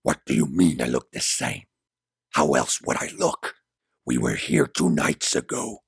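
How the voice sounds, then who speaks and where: A man voicing an intense, desperate man out of his own time in an audiobook drama, speaking with low, forceful urgency. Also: sing-song, measured pace, vocal fry, husky, no echo, clean studio sound, exaggerated voice. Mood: shocked. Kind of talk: dramatic audiobook character dialogue.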